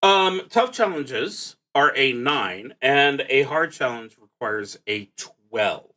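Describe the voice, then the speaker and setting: A man hosting a solo tabletop roleplaying stream, casually explaining rules and haltingly thinking aloud as he reads. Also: medium pitch, expressive, pushed and bright, rough recording.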